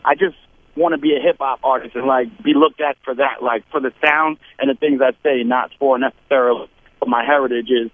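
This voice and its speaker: A man's voice: medium pitch, fairly even intonation, a little fast, no echo. Man on a tinny phone line. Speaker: guest rapper calling in. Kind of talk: casual phone-in interview.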